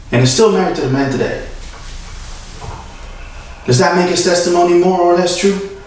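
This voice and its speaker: A man acting a dramatic monologue as a young, earnest defense lawyer pleading solemnly and deliberately to a jury. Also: a little high. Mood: earnest, impassioned. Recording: very echoey room, far from mic.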